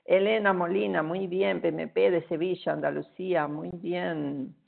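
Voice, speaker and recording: A middle-aged Argentine woman speaks in a low, gravelly voice with a Portuguese-tinged accent, relaxed and chatty, filling pauses with "eh." The sound comes through a thin, phone-like video call line. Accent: Argentine Spanish, with Portuguese influence. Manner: relaxed, chatty, many "eh" fillers and restarts. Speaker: guest speaker. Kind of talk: webinar presentation. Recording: tinny phone-like online call audio.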